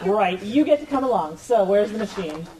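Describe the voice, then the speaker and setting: Woman as wry, animated heroine, noisy mix. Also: medium pitch, normal speed, close to an everyday voice. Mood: brisk. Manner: wry, take-charge. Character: brisk, commanding, wry young heroine inventor. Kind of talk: comic radio play acting.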